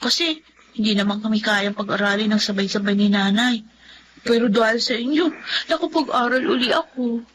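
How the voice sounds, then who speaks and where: Lady acting young filipina student in a radio drama, highish voice, earnest n respectful, noisy recording. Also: steady tone, brisk, a bit bright, natural voice. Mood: grateful, tender. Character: earnest, grateful young female college student.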